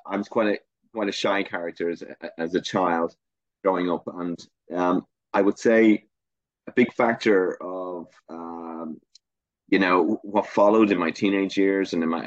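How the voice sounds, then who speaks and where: Interview guest: middle-aged Dublin man, very low voice, halting and thoughtful, dry muffled recording. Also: no room sound. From Ireland. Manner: hesitant, many fillers and restarts. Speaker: guest filmmaker. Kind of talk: reflective interview answers. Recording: muffled lo-fi sound.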